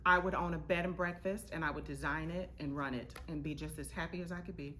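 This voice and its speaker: Low, bright-voiced woman in conversation. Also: steady tone, fast, a little hiss or hum. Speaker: interview guest, actor, director and writer. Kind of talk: casual interview chat.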